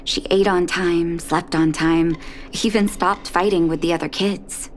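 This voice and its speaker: An actress playing a weary, caring carer of children in a video game, measured and gently scolding, exasperated yet fond. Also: female voice, lowish voice, steady tone, a little hiss or hum, lightly acted. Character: weary, caring adult woman, doctor and orphanage carer. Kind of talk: video game character acting.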